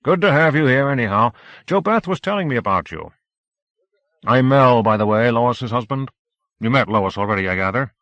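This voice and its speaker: A man's voice: medium pitch, lively intonation, normal speed, no echo, highs cut off, voice pushed up. A man voicing a rotund, tipsy middle-aged man at a party, crude and bitter, swearing freely. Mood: jovial. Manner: loud, swearing, mocking.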